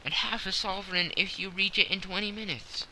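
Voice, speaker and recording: Spirited lady, played by a male narrator, raised pitch, urgent commanding cries, bright sharp tone, audiobook drama. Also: steady tone, a little fast, a little hiss or hum, rough recording. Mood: hurried, imperious. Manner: urgent, crying out. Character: lovely, spirited woman crying hurried orders to coachman. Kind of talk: audiobook character acting.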